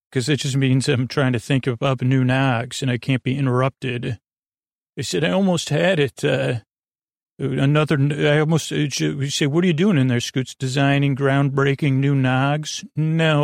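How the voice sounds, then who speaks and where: A man hosting a bedtime podcast, rambling in a halting, tangential way with trailing unfinished sentences and a gravelly voice. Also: medium pitch, no echo, clean studio sound. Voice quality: creaky, gravelly. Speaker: podcast host. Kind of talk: rambling bedtime monologue to help listeners fall asleep.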